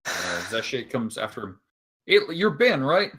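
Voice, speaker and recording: A man playing a tabletop role-playing game, chatty and friendly, getting animated when speaking for his character. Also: mid-range voice, a little slow, a little hiss or hum. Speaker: player. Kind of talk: casual tabletop play. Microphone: headset or desk mic on a voice call.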